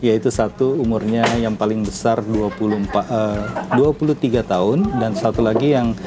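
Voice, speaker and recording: A man's voice: on the low side, moderate pace, very noisy. A man in his fifties giving casual interview answers, repeating phrases as he gathers his thoughts. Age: 50s. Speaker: interviewee, father of two.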